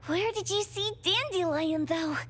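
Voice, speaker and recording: A woman's voice: very high-pitched, unhurried, a bit bright. A woman voicing a small, inquisitive and blunt companion character in a video game, exclaiming often. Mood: suspicious, incredulous.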